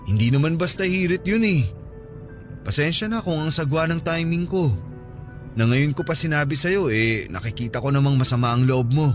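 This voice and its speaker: A man's voice: fairly high pitch, moderate pace, close to an everyday voice. An actor playing an earnest, flirty coworker smitten with his friend in a Filipino radio drama, coaxing and soothing. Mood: earnest confession. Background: background music.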